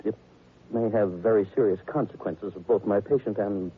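A man's voice: fairly low pitch, fairly even intonation, normal speed, natural voice. A man playing an anxious, formal psychoanalyst in a 1940s radio drama, speaking hesitantly and repeating his words. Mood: uneasy, earnest. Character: anxious, formal male psychoanalyst seeking discreet help. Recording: muffled, noisy 1940s radio recording.

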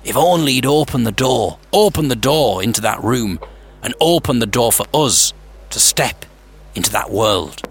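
A man hosting a podcast, narrating a scripted story with theatrical suspense, pauses and wry humour. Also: mid-range voice, lively intonation, vocal fry, husky. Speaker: podcast host. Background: music.

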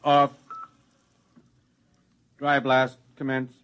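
A man playing a brisk, self-important scientist-executive in a 1950s radio drama, speaking in clipped commands. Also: medium pitch, monotone, a bit bright, smooth, highs cut off. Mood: curt. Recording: 1950s radio broadcast recording.

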